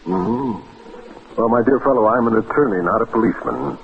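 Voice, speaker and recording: An actor playing a sardonic, hungover bachelor lawyer, dry and curt, in a 1940s radio drama. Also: male voice, mid-range voice, a little fast, creaky, natural voice. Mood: irritated, dry. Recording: muffled, noisy old radio recording.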